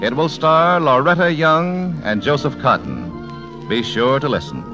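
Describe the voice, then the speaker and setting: A man's voice: a little high, sing-song, measured pace. A man announcing in a formal, polished, scripted 1940s radio style. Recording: muffled vintage 1940s radio with loud music. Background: music.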